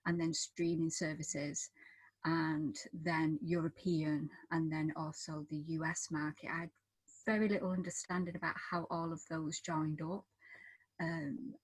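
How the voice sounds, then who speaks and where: A woman's voice: sits low, breathy edge, no room sound, rough recording. A woman talking casually as a panel guest, in an informal, loosely phrased way.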